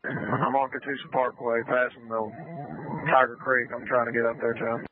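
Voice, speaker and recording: A man's voice: mid-range voice, little pitch movement, moderate pace, phone-quality sound. A man, a patrol officer making short calls over police radio. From United States. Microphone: two-way radio microphone. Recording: thin, clicky scanner radio, faint noise.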